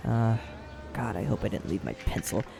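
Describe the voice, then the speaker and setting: A man's voice: on the low side, sing-song, normal speed, vocal fry, warm tone, heavily acted. A man playing an easygoing young college student who jokes around in a laid-back way in an audio roleplay. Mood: joking, laid-back.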